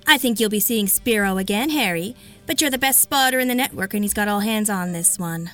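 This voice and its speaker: An actress playing a stern, composed spy-network dispatcher in a 1930s-style radio drama, speaking in a clipped, formal, dry way. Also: female voice, mid-range voice, a little fast, a bit bright, faint music behind, natural voice. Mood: businesslike.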